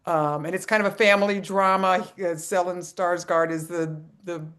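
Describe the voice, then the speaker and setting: A medium-pitched voice in an informal group conversation about films. The speaker talks in a halting way, doubling back and restarting phrases as the recommendation unfolds. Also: steady tone, fairly bright tone. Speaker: film club member. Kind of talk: casual group discussion, informal film recommendation.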